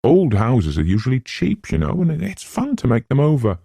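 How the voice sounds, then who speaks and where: A man's voice: medium pitch, wildly swooping pitch, moderate pace, gravelly, mellow, slightly rough, dry recording, pitched above the performer's own voice, exaggerated voice. A man voicing an anxious, chatty woman from the city in a novel, with tentative, polite manners. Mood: careful probing.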